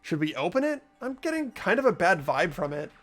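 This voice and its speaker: Animated, high-pitched earnest teenage boy played by a man. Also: fairly quick, full character voice. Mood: tense. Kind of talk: amateur voiced video game playthrough, dialogue and narration. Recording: music behind the voice.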